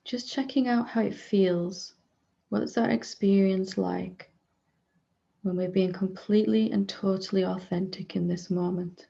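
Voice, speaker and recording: A woman leading a guided meditation as a spiritual teacher, speaking gently in short unhurried phrases with long pauses between them. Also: mid-range voice, steady tone, gravelly, dull audio.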